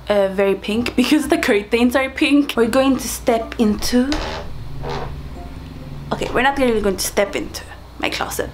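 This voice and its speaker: A woman's voice: fairly high pitch, slight background noise. A young woman from Sweden chatting casually to camera in a playful, exclamatory, slangy way. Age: young adult. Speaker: vlogger showing childhood belongings.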